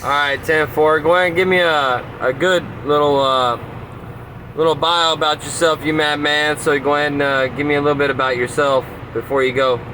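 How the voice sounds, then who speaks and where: A man's voice: fairly high pitch, fairly even intonation, fairly quick. A man, a novice truck driver, chatting casually and respectfully over CB radio. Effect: CB radio transmission. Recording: CB radio chat in clear vehicle-like noise.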